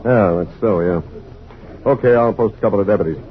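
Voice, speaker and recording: A tinny, thin old radio recording of a man acting an American small-town sheriff. He talks fast, in an obliging, folksy and matter-of-fact way. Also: on the low side, natural voice. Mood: easygoing. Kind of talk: mid-century American radio drama acting.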